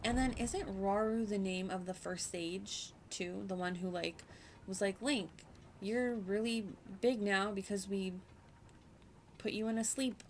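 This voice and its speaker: A woman's voice: mid-range voice, a little slow, slight background noise. A woman livestreaming a video game with casual commentary, in a playful, gushing and informal manner. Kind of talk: casual live gameplay commentary. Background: music.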